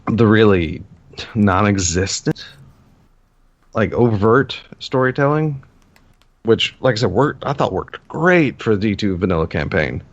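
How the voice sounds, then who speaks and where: Rambling low-voiced man on a podcast. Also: expressive, a little slow, no echo, highs cut off. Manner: rambling, many fillers and restarts. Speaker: podcast co-host. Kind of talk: casual gaming podcast chat.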